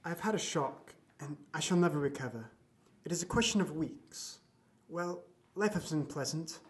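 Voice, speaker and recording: A man's voice: a little high, lively intonation, normal speed, natural voice. A man playing a dying old doctor in an audio drama, formal and emphatic, bitter and weary of life. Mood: resigned, weary.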